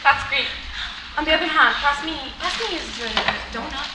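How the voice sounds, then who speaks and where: A woman's voice: sits high, moderate pace, far from the mic, close to an everyday voice. An actress playing a fretful, melodramatic mother in a comedy sketch, recorded in an echoey room. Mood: relieved. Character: fussy, melodramatic, anxious mother of a student. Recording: echoey room with clear noise.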